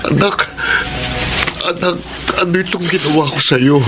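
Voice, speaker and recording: A man's voice: on the high side, normal speed, lightly acted, low-quality recording. A man playing a remorseful policeman father in a radio drama, earnest and emotional. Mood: remorseful, pleading. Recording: loud music under the voice.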